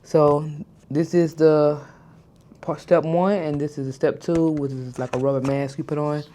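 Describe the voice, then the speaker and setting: A woman in her thirties chatting casually to her followers in a skincare vlog. She stutters at times, mispronounces some words, and slows her speech down on purpose. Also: low-pitched, fairly even intonation, warm tone, a little hiss or hum. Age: 30s. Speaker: vlogger. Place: hotel room, indoors.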